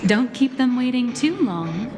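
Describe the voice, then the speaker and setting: Game role: poised, cryptic office agent, fairly high voice, formal delivery over music. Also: female voice, expressive. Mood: knowing, composed. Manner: measured, formal, knowing. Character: composed, knowing corporate woman agent, subtly cryptic. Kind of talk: video game voice acting.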